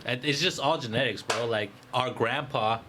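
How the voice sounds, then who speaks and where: A man's voice: medium pitch, moderate pace, background noise. A man co-hosting a casual podcast, talking in slangy, profane banter. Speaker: podcast co-host.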